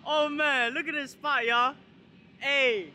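A young man talks straight to his viewers in loose street slang, voice bright and pushing forward. He gets loud and hyped when the cars start moving outside. Faint outdoor noise sits behind him. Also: very high-pitched, takes it slowly. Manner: hyped slang-heavy street talk, yelling when excited. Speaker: car vlogger. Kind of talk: casual vlog talk to viewers. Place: outdoors at an abandoned airbase, around cars.

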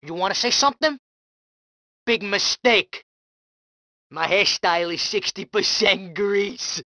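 A woman's voice: mid-range voice, a little slow, bright, forceful tone, no echo, studio quality. A woman voicing a snarling young mob thug. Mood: menacing. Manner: snarling street-tough threats and taunts. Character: hot-tempered male mob thug, young, brash. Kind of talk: video game combat barks.

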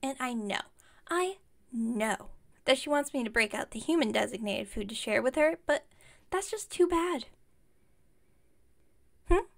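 A woman's voice: fairly high pitch, animated, unhurried, vocal fry, long pauses. A woman playing an enthusiastic, slightly incompetent witch shopkeeper in an audio roleplay, rambling on in a friendly, bubbly way. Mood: chatty, fond. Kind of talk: ASMR roleplay audio, one-sided chat with listener.

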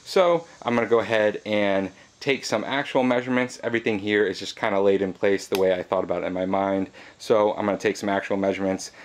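A man with a fairly low voice talks through a DIY build in a casual vlog style. He sounds laid-back and matter-of-fact, explaining things plainly as he goes. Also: quick pace, hardly any pauses. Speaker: host of a DIY fabrication channel.

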